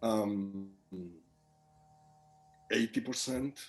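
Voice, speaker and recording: A man's voice: fairly low pitch, steady tone, extremely slow. A man from Spain, a guest on a video call from home who answers thoughtfully and searches for his words. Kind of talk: casual conversation.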